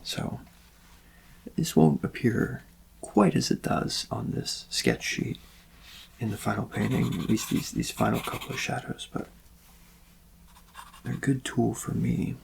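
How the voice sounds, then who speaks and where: Soft-spoken man with heavy vocal fry. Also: mid-range voice, steady tone, a little hiss or hum, low-quality recording. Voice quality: soft, breathy-edged voice dropping into whispers and creaky fry. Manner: gentle, unhurried, reassuring, politely attentive. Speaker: ASMR roleplay performer as a portrait artist. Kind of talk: soft-spoken ASMR personal-attention roleplay.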